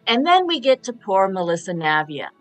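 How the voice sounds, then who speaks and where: A woman's voice: mid-range voice, sing-song, normal speed, faint music behind. A woman giving opinions in a casual radio roundtable, telling it like a story and acting out quotes.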